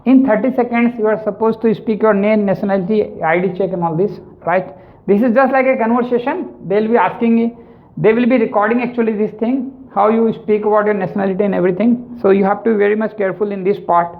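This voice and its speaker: High-voiced Indian English teacher, tinny. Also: male voice, steady tone, quick pace. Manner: repetitive, keeps adding "right?" and "actually". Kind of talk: spoken lesson on exam tips. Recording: tinny, phone-like sound.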